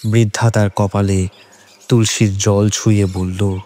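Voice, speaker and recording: A man's voice: on the low side, level tone, measured pace, warm tone, a little hiss or hum. A man narrating a scripted horror story in a dramatic storytelling style. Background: background music.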